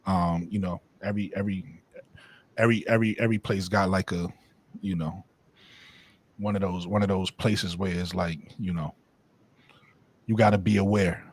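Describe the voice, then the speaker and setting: A man's voice: sits low, fairly even intonation, moderate pace, long pauses. A man with a New York accent hosting a casual group chat, laid-back and slangy, often repeating his words. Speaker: podcast host.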